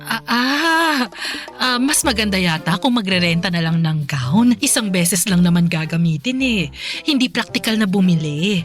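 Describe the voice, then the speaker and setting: A woman's voice: medium pitch, lively intonation, normal speed, faint music behind, lightly acted. An actress playing a polite but reluctant middle-aged godmother in a Filipino radio drama, hesitant and flustered, with a Filipino accent. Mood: hesitant, evasive.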